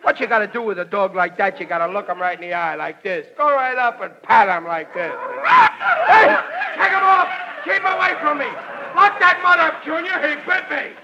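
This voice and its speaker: A man's voice: extremely high pitch, lively intonation, normal speed, vocal fry, sharp tone, a faint crowd, heavily acted. An actor with an American accent playing a blustering working-class family man, boastful but cowardly, in a 1940s radio sitcom. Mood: panicked, indignant. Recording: muffled, noisy 1940s radio recording.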